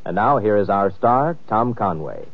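A man's voice: lowish voice, big pitch swings, normal speed, dry recording. A man announcing from a script in old-time radio style. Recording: muffled old radio broadcast with music.

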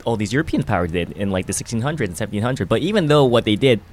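A man's voice: on the low side, lively intonation, rapid-fire. A young man from Hong Kong, with Japanese as his first language, chatting as a podcast guest, rambling with lots of "you know" fillers. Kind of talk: casual chat.

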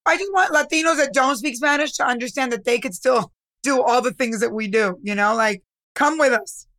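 A woman hosts the interview and asks curious, encouraging follow-up questions. Her voice is high for a woman, and she interjects to steer the conversation. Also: fairly quick, fairly bright tone. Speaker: podcast host.

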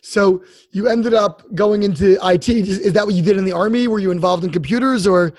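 High-voiced male interview host. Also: a little mellow, no room sound. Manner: measured, friendly questioning with occasional fillers. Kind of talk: podcast interview.

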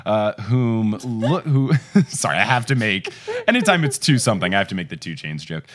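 A young man hosting a casual podcast, his pitch swinging widely as he recaps and jokes. He laughs easily and slips into quick voices when he quotes characters. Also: sing-song. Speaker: podcast host. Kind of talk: casual chat recapping a book.

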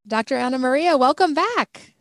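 A woman's voice: high-pitched, lively intonation, moderate pace, no echo, studio quality. A woman hosting a podcast, introducing a guest and asking questions in an upbeat, enthusiastic way. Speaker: podcast host.

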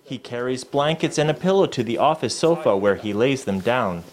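A man narrating a news report in a measured, formal way. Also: medium pitch, expressive.

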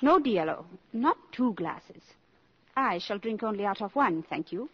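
An actress playing a proud, worldly, impoverished countess in a 1950s radio drama, with a haughty, dry, imperious manner. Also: female voice, medium pitch, lively intonation, measured pace, slight background noise, natural voice. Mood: proud, cool. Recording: muffled vintage 1950s radio recording.

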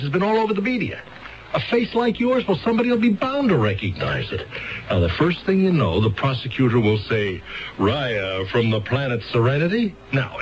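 An actor as an excitable, swooping skeptical lawyer. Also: male voice, mid-range voice, close to an everyday voice. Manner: colloquial, exasperated, halting and coaxing. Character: excitable, skeptical fast-talking male defense lawyer. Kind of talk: 1970s radio drama acting. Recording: dull 1970s radio broadcast recording.

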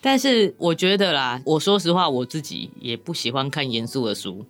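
A woman's voice: deep voice, animated, normal speed, soft background music. A woman with a Taiwanese Mandarin accent chats casually and candidly as a guest novelist, joking often and poking fun at herself. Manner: self-deprecating, joking, giggly. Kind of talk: casual, candid podcast chat.

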